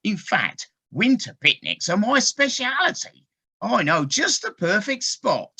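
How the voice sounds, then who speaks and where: A woman's voice: mid-range voice, very animated, unhurried, sharp tone, slightly rough, full character voice. A woman playing a kindly, trusting, fretful water rat in an audio play, earnest and fussing, on dull lo-fi call audio. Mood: concerned, trusting. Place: living room, recorded over video call.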